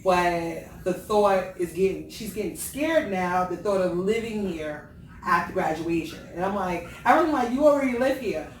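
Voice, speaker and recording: A woman's voice: fairly low pitch, animated, normal speed, recorded from a distance. A woman hosting a casual chat show, teasing her guest and asking easygoing questions. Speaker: host. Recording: echoey room, off-mic, light noise.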